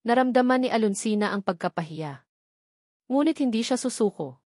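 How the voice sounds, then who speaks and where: A woman's voice: a little high, animated, normal speed, a little mellow, no room sound, studio quality. A woman with a Filipino accent reading scripted dramatic narration of a romance story.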